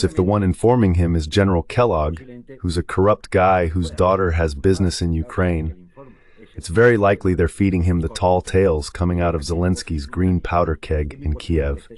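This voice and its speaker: A man's voice: sits low, normal speed, darkish tone. A man voicing informal, sardonic political commentary as a translated guest.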